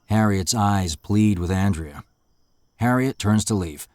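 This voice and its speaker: A man reading scene directions in a steady, matter-of-fact way. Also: on the low side, takes it slowly, no room sound, studio quality. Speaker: narrator. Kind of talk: scripted narration of stage directions.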